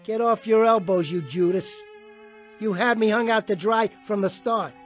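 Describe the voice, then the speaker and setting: An actor playing a bitter, washed-up small jockey in a radio drama, husky and combative, talking in streetwise slang. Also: male voice, sits high, moderate pace, soft background music, natural voice. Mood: belligerent.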